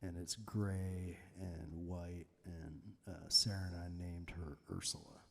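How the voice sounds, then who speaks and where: A man chatting casually between songs on a live stream from his garage, soft-spoken and rambling, with plenty of fillers. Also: deep voice, slow pace, creaky. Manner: rambling, filler-heavy, laid-back storytelling. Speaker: musician hosting a live stream. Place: home garage.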